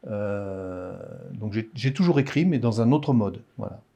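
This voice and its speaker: A man in his sixties with a Parisian French accent, an author talking about his writing in a hesitant, halting way in a casual interview. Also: fairly low pitch, lively intonation, dark tone. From France. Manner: hesitant, halting, many restarts.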